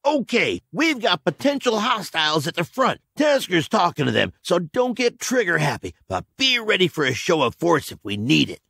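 A tense, gravelly militia commander, as a male narrator puts him on, barking orders high and hard. His rough, husky voice swings up and down and sounds close and dry. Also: huge pitch swings, moderate pace, few pauses, sharp tone, no echo, full character voice. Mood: commanding.

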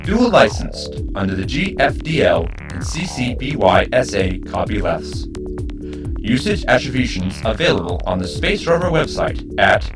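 A man's voice: fairly low pitch, big pitch swings, moderate pace, rough recording. Man reading scripted credits over music. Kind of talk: scripted narration.

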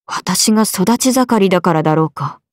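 A woman's voice: medium pitch, animated, normal speed, dry recording, clean studio sound, close to an everyday voice. A woman voicing a young swordswoman character in a video game. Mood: calm, blunt. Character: swordswomen: a lively young one and her stoic alter self.

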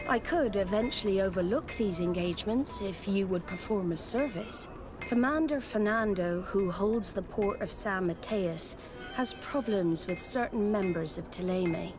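An actress playing a commanding, guarded admiral of a sailors' guild in a video game, with formal, measured speech. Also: female voice, mid-range voice, lightly acted. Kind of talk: video game dialogue acting.

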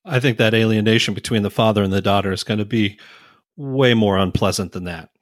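A man's voice: on the low side, a little fast, dry recording, studio quality. A man co-hosting a podcast, chatting casually about a television series. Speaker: podcast co-host.

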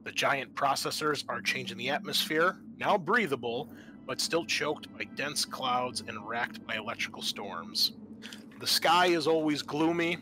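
A man's voice: medium pitch, animated, a little slow, background noise. A man hosting a roleplaying podcast as game master, moving between casual chat and scene-setting narration. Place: online group call.

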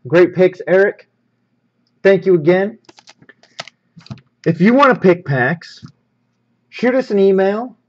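A man hosting a casual unboxing video with an upbeat, easygoing manner, talking through what he handles. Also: high voice. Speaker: host of a sports card pack-opening show. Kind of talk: casual hands-on unboxing commentary. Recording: slightly dull, lo-fi microphone.

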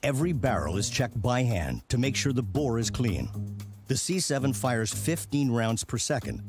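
A man reading scripted television documentary narration in a measured way with dramatic emphasis. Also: mid-range voice, big pitch swings. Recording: music behind the voice. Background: background music.

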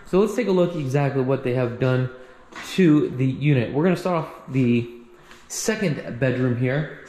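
A man's voice: mid-range voice, moderate pace, dark tone. A man giving a casual walkthrough commentary as a real estate agent, recorded on a handheld camera in empty rooms. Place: empty condo rooms and outdoor walkway. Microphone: handheld action camera. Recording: echoey empty rooms, some background noise.

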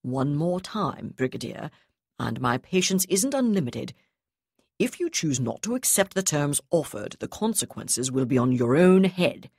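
A woman reading the lines of a calm, contemptuous male naval captain in an audiobook, speaking slowly and evenly. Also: deep voice, husky, no echo, studio quality, heavily acted. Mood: coldly calm.

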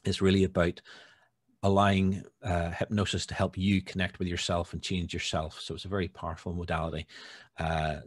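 A man in his fifties speaking informally and a little haltingly as chair of an online meeting. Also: low voice, flat delivery, no room sound, studio quality. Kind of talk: informal meeting hosting and self-introduction. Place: indoors on video call. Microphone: computer mic on video call.

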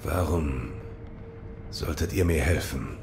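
A grim shinobi speaks in an extremely low, gravelly voice. The loyal warrior is terse and solemn, as in an audio drama, over clear rumbling noise. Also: slow pace, a little mellow, heavily acted. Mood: terse, guarded.

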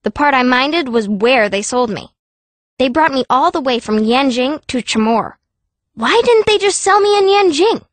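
High-voiced, fierce young girl. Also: lively intonation, normal speed, no echo. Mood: bitter, insistent. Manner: blunt, grumbling. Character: fierce, cranky, sharp-tongued young girl mage. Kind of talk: first-person audiobook narration.

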